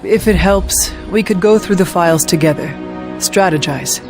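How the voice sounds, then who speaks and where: A woman playing an earnest, resolute young warrior in a video game, speaking in a measured way. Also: on the low side, music in the background, natural voice. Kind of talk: video game cutscene acting.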